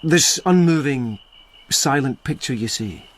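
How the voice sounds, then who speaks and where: An actor playing a restrained young officer who asks quiet, measured questions in a radio drama. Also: male voice, mid-range voice, animated. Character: Lieutenant Yukinari, a restrained, probing young officer.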